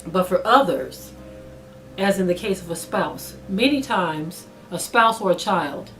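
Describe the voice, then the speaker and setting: Low-voiced woman teaching with soft music. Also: poor audio. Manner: measured, with frequent pauses and ums. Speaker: Bible teacher. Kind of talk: spiritual teaching talk.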